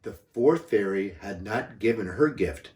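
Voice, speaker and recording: An older man narrates a children's story at a very slow pace, with dramatic emphasis. His voice sits fairly low. It was recorded from a distance in an echoing room. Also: lively intonation, darkish tone. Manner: unhurried, dramatic emphasis, occasional stumbles. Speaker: grandfather reading stories. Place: echoey room, away from the mic. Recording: roomy, echoing, distant microphone.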